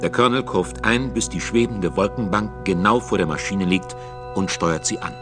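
A man's voice: low-pitched, moderate pace. A man narrating a scripted radio feature. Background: music.

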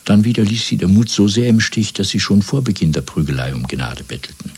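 An actor playing a calm, cold party interrogator in a radio play, formal and methodical, probing with measured questions. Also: male voice, fairly low pitch, breathy edge. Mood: methodical, menacing. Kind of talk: German radio play acting. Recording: restored 1970s radio drama recording.

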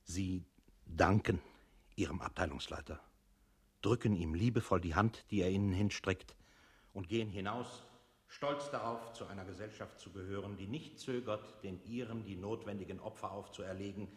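Formal deadpan man, lowish voice. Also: moderate pace, breathy edge. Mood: subdued, solemn. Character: deadpan male narrator reciting a petitioner's bureaucratic instructions. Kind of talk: scripted radio play recitation.

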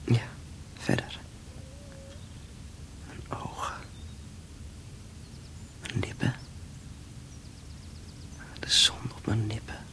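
Frail, whispering young convalescent. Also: male voice, sharp tone. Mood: yearning. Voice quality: breathy, often whispering. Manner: halting, slow, intimate. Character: frail convalescent young man, yearning and tender. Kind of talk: Dutch radio drama acting.

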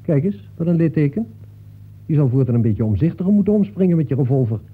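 An actor in a Dutch radio play, playing a talkative, breezy detective from a novel who nags in a familiar way. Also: male voice, on the high side, animated, fast, husky. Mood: breezy, reproachful. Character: talkative fictional detective come to life, chummy, reproachful. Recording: muffled phone-like old radio recording.

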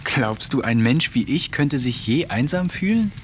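A man narrating diary entries in a radio play, calm, measured and wry, sometimes dropping to a hushed whisper. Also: mid-range voice, a little hiss or hum. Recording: studio radio play with faint music.

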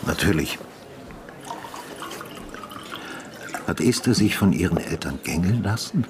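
An older man with a very low voice, a retired detective in a naturalistic radio play. He asks short, sober questions, calm, patient and probing. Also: brisk, background noise. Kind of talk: naturalistic German radio drama acting.

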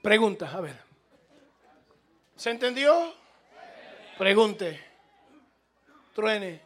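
Latin american guy preaching, high forceful voice, repeats stuff hard, keeps pushing people to answer. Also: sing-song, drawn-out words. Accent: Latin American Spanish. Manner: emphatic, repetitive, prodding listeners to respond. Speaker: preacher taking questions from congregation. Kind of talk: impassioned informal sermon.

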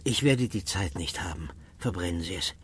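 An actor playing a feverish, despairing man obsessed with his manuscript in a radio drama, halting and strained as he repeats himself. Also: sits low, expressive, a little hiss or hum. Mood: weak, despairing.

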